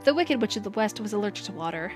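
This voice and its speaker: A young woman streamer with a hoarse, worn voice, reading a dating game aloud and chatting casually to viewers. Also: mid-range voice, expressive, very fast. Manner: casual, playful, trailing off with restarts. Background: music.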